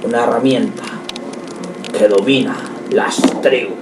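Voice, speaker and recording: A man reading dramatic scripted radio narration in a solemn, deliberate manner. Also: medium pitch, steady tone. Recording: echoey, distant, noisy amateur recording.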